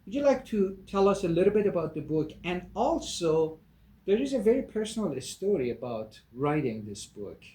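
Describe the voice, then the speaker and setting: A man from Iran hosts a friendly interview. He speaks slowly and deliberately, with a fairly high voice, and his words ring with room echo. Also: big pitch swings, dark tone. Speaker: podcast host. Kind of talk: interview chat.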